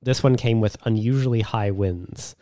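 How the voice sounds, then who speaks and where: A man hoarse and raspy with a cold, chatting casually as a podcast co-host in a sweary, self-deprecating way. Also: on the low side, moderate pace, mellow, no room sound, studio quality. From a subtropical island. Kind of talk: casual chat.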